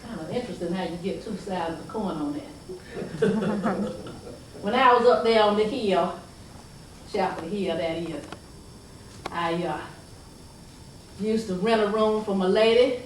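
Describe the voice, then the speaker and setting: An older woman telling family stories in a folksy style to a live audience, chuckling through drawn-out asides. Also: medium pitch, slight background noise. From North Carolina. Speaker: storyteller. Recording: echoey room heard from a distance.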